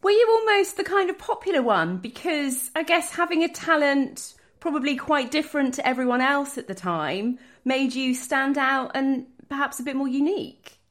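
A woman's voice: high-pitched, expressive, moderate pace, few pauses, fairly bright tone. A woman hosting an interview, asking curious, chatty questions. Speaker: interviewer, host. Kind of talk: conversational interview.

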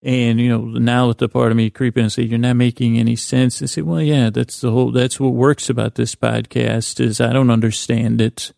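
A man hosting a bedtime podcast in a creaky, lulling voice, meandering gently and haltingly through a soothing monologue and often interrupting himself. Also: medium pitch, flat delivery, no echo, studio quality. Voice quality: creaky, gravelly. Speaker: podcast host.

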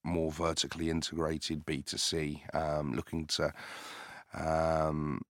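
A man who grew up in the UK, a company founder answering questions in a business podcast interview, measured and hesitant with many filler sounds. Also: rumbling low, monotone, dry recording, clean studio sound. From United Kingdom.

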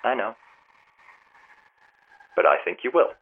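Audio drama role: gentle, reserved male friend, lively swings in pitch, tinny telephone sound. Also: slow pace. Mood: gentle, thoughtful. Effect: telephone filter. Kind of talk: naturalistic audio drama phone dialogue. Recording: tinny phone line with music.

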